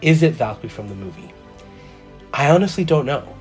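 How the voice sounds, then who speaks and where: A man hosting his own collector channel, talking through a careful review in a measured, methodical way, a little stuffy from a cold. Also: medium pitch, very animated, a little mellow, soft background music. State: slightly congested from a cold. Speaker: toy collector channel host. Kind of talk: scripted product review voice-over.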